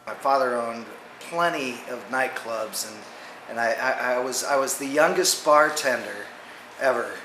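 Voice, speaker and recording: A man's voice: medium pitch, bright, forceful tone. Rambling American man in echoey room. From Dallas, Texas, USA. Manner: rambling, halting, repeats words. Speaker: band musician. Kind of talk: casual band interview. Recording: roomy echo, faint noise.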